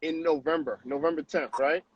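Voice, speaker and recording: High-voiced man on a dull video call. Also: fairly quick. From Haiti. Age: 30s. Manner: animated, with frequent tag questions. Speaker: guest. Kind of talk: casual storytelling on a live stream.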